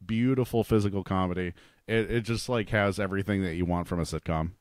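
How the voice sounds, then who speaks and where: A man's voice: deep voice, fairly even intonation, quick pace, no room sound, studio quality. A man co-hosting a podcast, chatting casually about television. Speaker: podcast co-host. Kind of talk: casual TV review chat.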